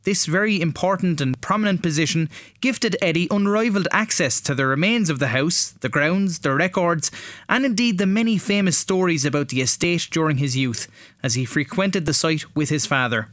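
Higher-pitched Irish male radio host. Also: animated, normal speed, a bit bright, no room sound, studio quality. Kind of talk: scripted radio presenting.

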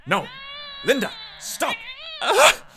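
An actor playing a desperate, unhinged husband in a horror radio drama, theatrical, shifting between mock politeness and frantic pleading. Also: male voice, extremely high pitch, wildly swooping pitch, slow, gravelly, background noise, heavily acted. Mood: terrified agony. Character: desperate, unhinged husband hiding his monstrous cat wife.

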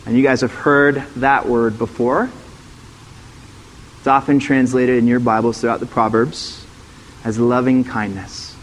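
A man's voice: mid-range voice, level tone, measured pace, pauses often, slight background noise, low-quality recording. A middle-aged man, a former pastor, giving a sermon as a guest speaker, teaching in a conversational way and putting questions to the room.

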